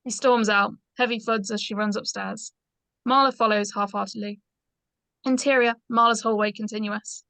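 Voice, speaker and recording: A woman's voice: mid-range voice, steady tone, normal speed, creaky, fairly bright tone, dry recording. A woman reading out the stage directions of a screenplay as scripted narration.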